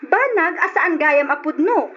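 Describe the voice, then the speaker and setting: A woman's voice: high voice, lively intonation, unhurried, a bit bright. An actress playing a scorned, reproachful mistress confronting her cheating lover in an Ilocano radio drama, accusing and insistent. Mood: accusing, defiant. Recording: dull old radio drama recording, noisy.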